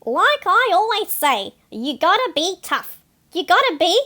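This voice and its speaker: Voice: very high voice, very animated, measured pace, sharp tone, full character voice. A reader voicing a boastful, melodramatic seagull in a children's picture book, with a brash, wisecracking, theatrical delivery. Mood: indignant. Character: boastful, melodramatic cartoon seagull, streetwise snack thief. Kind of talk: animated picture-book read-aloud for children.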